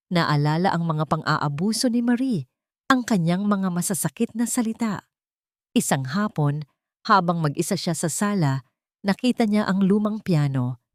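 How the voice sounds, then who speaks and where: A woman narrating a sentimental drama from a script in a measured, solemn storytelling manner. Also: on the low side, darkish tone, dry recording, clean studio sound.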